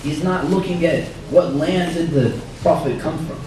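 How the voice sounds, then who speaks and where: Hoarse imam in an echoey hall. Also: male voice, fairly high pitch. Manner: measured, reflective, quotes Arabic scripture. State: hoarse, losing his voice. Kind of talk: religious talk at a dinner. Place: banquet hall. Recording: roomy far-off hall recording with faint noise.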